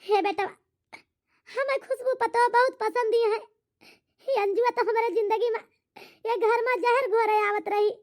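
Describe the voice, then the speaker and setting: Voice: very high voice, fairly even intonation, unhurried, long pauses. A performer with an Awadhi accent voicing a plaintive, blunt, regretful elderly village mother-in-law in a rambling, lamenting cartoon comedy style. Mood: remorseful, affectionate.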